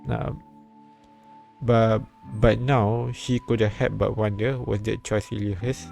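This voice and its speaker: A man reads a visual novel aloud on a stream, halting and repeating words. His voice is lowish, flat in melody and creaky, with some music behind. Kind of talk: read-aloud narration with casual asides. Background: soft game music.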